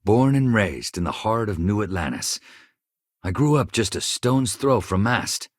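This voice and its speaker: A man's voice: on the low side, big pitch swings, a little slow, no echo, clean studio sound. A man voicing an earnest, weary chief engineer in a video game, speaking in a reflective, conversational way. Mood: wistful. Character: earnest, weary male chief engineer, haunted survivor of a disaster. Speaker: starship crew engineer. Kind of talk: video game character dialogue.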